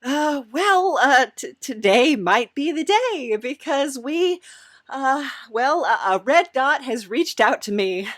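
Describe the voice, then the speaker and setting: A woman's voice: high voice, expressive, takes it slowly, no echo, clean studio sound. A woman hosting a casual comedic interview podcast, speaking in a hesitant, stammering way. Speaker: podcast host.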